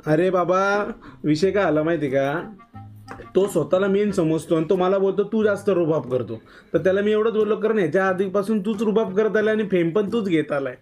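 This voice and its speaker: This is a young man with a distinctly high-pitched voice. He is agitated and insistent, chatting in slang as on a prank call, while light music plays behind. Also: fairly quick, a little mellow. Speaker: prank caller on a live stream. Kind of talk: casual slangy phone chat.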